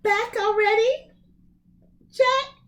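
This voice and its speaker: A woman reading a storybook, voicing an excitable, exasperated mother who exclaims and scolds indignantly. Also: extremely high pitch, very slow, pitched above the performer's own voice, full character voice. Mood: eager.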